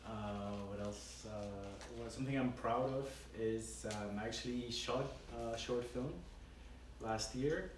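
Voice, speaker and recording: A man giving an informal, halting self-introduction to a group. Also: mid-range voice. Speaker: filmmaker introducing himself. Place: indoor group meeting room. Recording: echoey room, distant mic, background noise.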